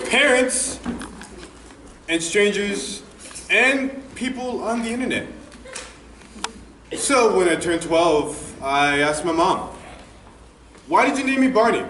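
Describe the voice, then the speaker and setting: A young man playing an aggrieved, exasperated boy in a comic stage monologue, plaintive and deliberate with dramatic pauses. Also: high voice, fairly bright tone, heavily acted. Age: teenager or young adult. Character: aggrieved, exasperated boy who hates his own name. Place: theater stage with audience. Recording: echoey theater, distant mic, faint noise.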